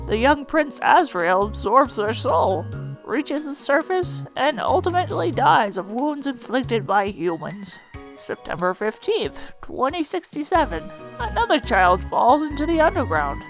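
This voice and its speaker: A woman's voice: high voice, sing-song, unhurried, full character voice. A woman voicing a folksy, wry old monster schoolteacher in a fan comic dub, explaining things in colloquial speech. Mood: wry, explanatory. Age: older. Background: music.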